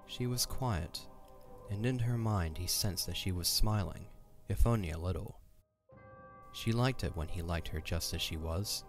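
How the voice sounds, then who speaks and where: A man with a low voice narrates a fantasy audio drama. His delivery is scripted and dramatic, with faint music playing underneath. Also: measured pace. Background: background music.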